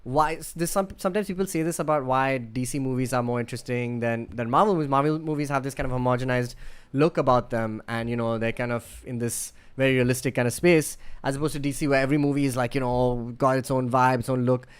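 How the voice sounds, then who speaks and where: Male podcast co-host, mid-pitched, enthusiastic rambler who restarts a lot. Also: steady tone, fast, smooth. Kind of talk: casual chat.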